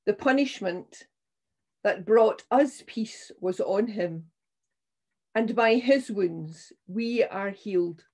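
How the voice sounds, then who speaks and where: An older woman minister reading scripture and preaching in a solemn, measured, deliberate way. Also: mid-range voice, a little mellow. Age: 60s or older. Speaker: minister leading a church service. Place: small room at home, indoors.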